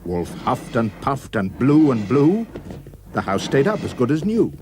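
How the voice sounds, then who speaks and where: A man giving expressive, theatrical rhyming storybook narration for a puppet show, with emphatic delivery. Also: medium pitch, measured pace, a little hiss or hum.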